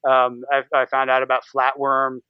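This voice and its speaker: An American man chatting casually as a podcast guest, with an animated, irreverent manner, prone to swearing and acting out little quotes. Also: mid-range voice, moderate pace, muffled recording, slight background noise. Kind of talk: casual interview chat.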